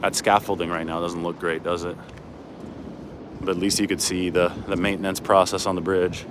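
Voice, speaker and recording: A man's voice: deep voice, flat delivery, normal speed. An American man in a casual livestream, chatting and rambling through asides as he moves about outdoors. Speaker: sports reporter hosting a livestream. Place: outdoors on city streets by a river at dawn. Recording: outdoor city ambience with traffic noise.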